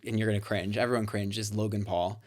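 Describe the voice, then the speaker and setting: A man's voice: on the low side, flat delivery, quick pace. A young man, a psychic guest on a podcast, chatting in a playful, campy way and laughing easily. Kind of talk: casual chat.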